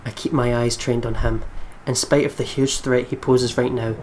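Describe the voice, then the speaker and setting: A man's voice: medium pitch, little pitch movement, normal speed, a little hiss or hum, rough recording. Young man dramatically reading game narration. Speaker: let's play host.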